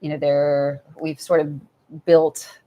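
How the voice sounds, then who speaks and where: A soft-spoken woman speaking as an author guest at a live event, thoughtful and prone to restarts. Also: deep voice, flat delivery, takes it slowly, low-quality recording. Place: event venue with audience.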